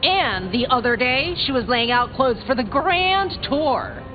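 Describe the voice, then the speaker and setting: Slightly dull game audio with music behind it carries a young female vampire, scrappy and sarcastic. Her pitch swings widely and she takes her time, talking in streetwise slang with a sardonic edge. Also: sing-song, unhurried. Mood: gossipy. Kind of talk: video game character dialogue.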